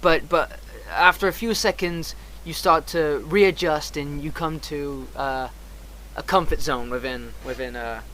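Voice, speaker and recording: A man casually telling a rambling, animated anecdote on a livestream, acting out the dialogue and swearing often. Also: fairly high pitch, measured pace. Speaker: streamer. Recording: clearly noisy background.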